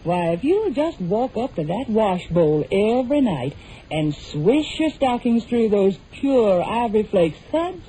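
A woman's voice: mid-range voice, big pitch swings, unhurried, warm tone, slight background noise, low-quality recording. A woman delivering chatty 1940s radio ad patter on an old broadcast recording. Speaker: singer and pitchwoman in a radio soap commercial. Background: music.

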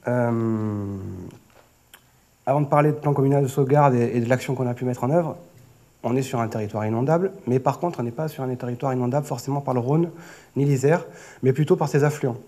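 A man's voice: mid-range voice, a little fast, dark tone. A man in his thirties with an accent from France, giving a semi-improvised conference presentation in a hesitant way, often repeating and rephrasing his words. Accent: French from France. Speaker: flood prevention project manager, conference speaker. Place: auditorium stage at a lectern. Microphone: lectern gooseneck microphone.

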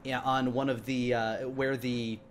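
A man narrating a tabletop roleplaying game as game master, improvising descriptions in a halting, detailed way. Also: mid-range voice, flat delivery. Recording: clearly audible background noise.

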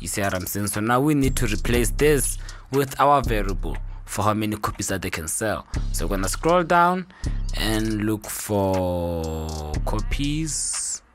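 Low-voiced man giving a casual tutorial. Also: lively intonation, a little slow. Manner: informal, upbeat interjections like boom and cool. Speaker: tutorial host. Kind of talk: casual screen-recorded software tutorial. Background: music.

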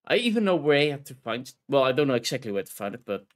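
A man's voice: mid-range voice, very animated, quick pace. A man from the Netherlands with a Dutch accent, chatting casually and rambling while streaming a video game, laughing often.

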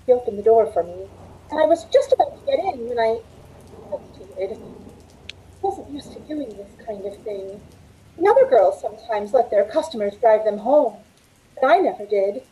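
An anxious, earnest waitress from a 1940s-style radio play, acted by a woman. Her high voice swings widely and has a mellow tone as she hesitates and pleads, with faint noise on the recording. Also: animated, normal speed, lightly acted, rough recording. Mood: uneasy recounting. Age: middle-aged. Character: anxious young waitress telling her frightening story. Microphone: video call from a home room. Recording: faint noise on home video call.

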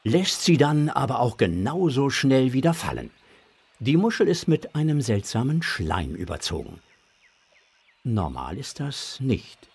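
A man narrating a children's audio drama in a scripted storytelling style, with a husky voice. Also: mid-range voice, very animated, measured pace, darkish tone.

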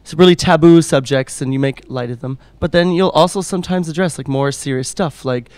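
A man's voice: a little high, a little fast, a little mellow, clear, resonant tone, no echo. A man interviewing a guest with playful, teasing questions into a handheld mic.

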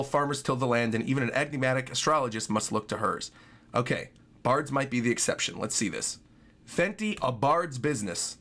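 A man in his twenties giving casual, excitable live commentary as a streamer reacting to game trailers. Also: medium pitch. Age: 20s. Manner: excitable, exclaiming. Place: small furnished room indoors. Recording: game trailer music playing behind.

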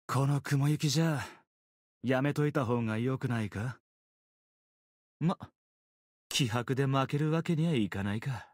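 A man's voice: on the high side, husky, no room sound, studio quality. A man playing an easygoing, teasing young swordsman in a mobile game, with a casual, playful drawl. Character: easygoing, teasing young swordsman, laid-back surfer temper. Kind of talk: Japanese mobile game character voice lines.

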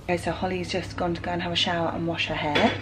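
A woman's voice: lowish voice, level tone, fast talker, a crowd in the background, poor audio. A woman in her thirties with an English accent, talking in a chatty, explanatory way in a casual family vlog. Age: 30s. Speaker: family vlogger, mother. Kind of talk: casual vlog chat to camera. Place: rooms in a family home. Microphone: camera at arm's length or nearby.

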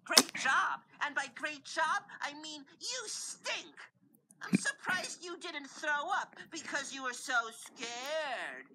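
Cartoon game crab bully, high-pitched, sharp and bright, sarcastic, boastful, taunting. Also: lively intonation, heavily acted. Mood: mocking. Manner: mocking, sarcastic, drawn-out delivery. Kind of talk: cartoon game cutscene acting.

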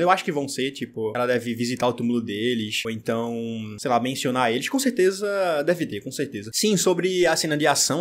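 A young man with a Brazilian accent giving effusive, casual reaction commentary as a video host. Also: medium pitch. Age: young adult. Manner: enthusiastic, effusive. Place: small bedroom gaming setup.

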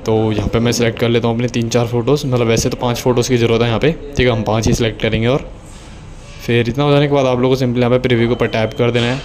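A man's voice: mid-range voice, flat delivery, very fast, a little mellow. A man giving a casual, instructional tutorial voice-over, explaining things step by step in a repetitive way. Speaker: video editing tutorial host.

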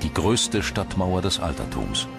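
A man narrates a documentary from a script in standard German. His voice sits very low, and music plays clearly behind him. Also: big pitch swings, measured pace. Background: background music.

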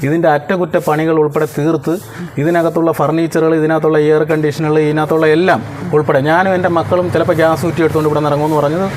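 A man's voice: a little high, level tone, racing, darkish tone, a little hiss or hum. A middle-aged man, explaining things in an interview in an emphatic, repetitive way. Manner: emphatic, repeats points insistently. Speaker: interviewee, homeowner organizing a lucky draw.

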